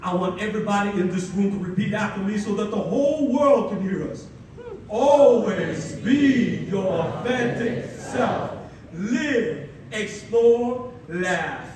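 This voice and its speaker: A young man from the Philippines, a native Tagalog speaker, giving a ceremonial keynote speech with energetic, playful storytelling and dramatic pauses. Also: high voice. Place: large hall at a ceremony. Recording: echoey hall, mic far off, audible room noise.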